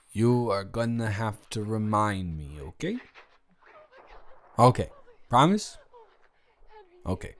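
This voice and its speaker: A man playing a drunk young roommate sincerely confessing love in an intimate roleplay audio drama, stammering and rambling as he speaks to the listener. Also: medium pitch. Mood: relieved, affectionate. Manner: stammering, rambling, repeating words, tipsy.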